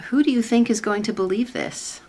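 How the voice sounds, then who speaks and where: A woman playing a skeptical, wry commission staffer in a sitcom-style radio play, speaking in a measured way. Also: fairly high pitch, dark tone, a little hiss or hum, natural voice.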